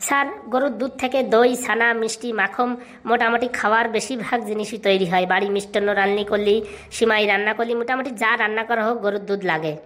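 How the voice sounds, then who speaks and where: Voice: medium pitch, fairly even intonation, fast, fairly bright tone, lightly acted. A performer voicing a cocky, touchy overgrown schoolboy in a comic cartoon, boastful, with a rural Bengali dialect. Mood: proud reciting. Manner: boastful, rattling off answers.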